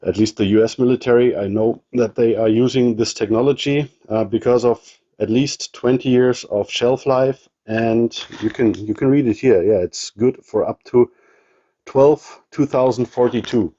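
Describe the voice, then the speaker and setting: Hobbyist man, German accent, halting show-and-tell delivery, darkish tone. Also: mid-range voice, warm tone, slightly rough, lo-fi sound. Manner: halting, with many ums and restarts. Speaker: ham radio hobbyist showing his gear. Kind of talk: casual show-and-tell walkthrough.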